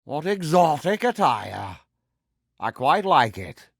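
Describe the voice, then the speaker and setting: Vain pirate villain, British accent, highish swooping pitch, grandiose, mannered, theatrical game voice. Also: male voice, sing-song, slow pace, vocal fry, slightly rough, no room sound, studio quality, heavily acted. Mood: grandly courteous. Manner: pompous, grandiose, mannered formal speech. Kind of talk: theatrical video game character voice acting.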